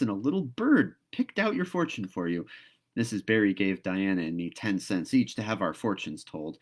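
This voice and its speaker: A man voicing a talkative, dreamy young girl in a storybook read-aloud, earnest and gushing. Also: fairly low pitch. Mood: wistful, excited.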